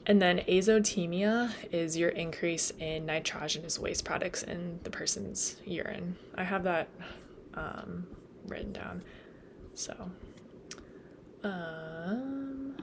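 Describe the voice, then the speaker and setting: A woman's voice: fairly low pitch, slow pace, vocal fry, long pauses, noisy background. A young woman explaining nursing material in a casual, chatty way, full of "like" and "um", and she is losing her voice. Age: young adult. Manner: chatty, lots of "like" and "um", laughs at own slips. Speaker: nursing student tutor. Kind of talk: casual study lecture.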